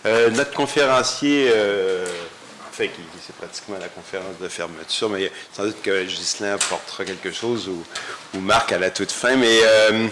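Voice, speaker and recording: A man's voice: lowish voice, moderate pace, background noise. A man chairing a university colloquium, introducing the next speaker with many hesitations. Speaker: conference chair. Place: university lecture hall.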